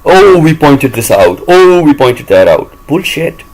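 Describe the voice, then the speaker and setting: A man's voice: fairly high pitch, sing-song, a little slow, rough recording. A man from Pakistan with a Pakistani English accent, whose first language is Urdu, speaking bluntly and defiantly in a personal monologue. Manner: blunt, combative, repetitive emphasis. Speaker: voice-over reader and vlogger.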